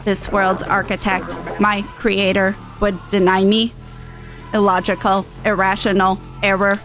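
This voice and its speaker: A woman plays a rogue machine mind in a video game, cold and controlling. The delivery is formal, measured and emptied of feeling, pitched well below her usual bright chatter, with music underneath. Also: little pitch movement. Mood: cold, stern. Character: cold rogue artificial intelligence obsessed with order.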